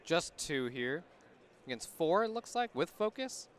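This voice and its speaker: A man's voice: on the high side, very animated, unhurried. A man co-commentating a tabletop game live at a convention, who keeps ending his remarks with "right?". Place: convention center hall.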